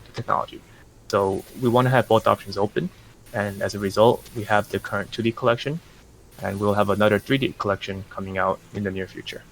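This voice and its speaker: A man representing a project, talking through his business plans in a casual interview, measured and full of fillers and restarts. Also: lowish voice, gravelly, a bit bright, a little hiss or hum, rough recording.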